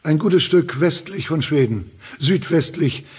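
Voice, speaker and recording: An actor playing a genial, smug island researcher in a radio play, with a breezy, self-assured manner and a husky voice. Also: male voice, a little high, lively intonation, unhurried, darkish tone, full character voice. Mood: jovial. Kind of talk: German radio play acting.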